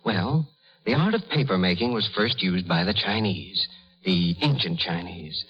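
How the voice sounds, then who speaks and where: An earnest, educated young man of good family in a vintage radio drama. His low voice is formal with a slight stammer, and the recording is dull and muffled. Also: animated, measured pace. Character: earnest, well-bred young rich man's son. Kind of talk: 1930s radio drama acting.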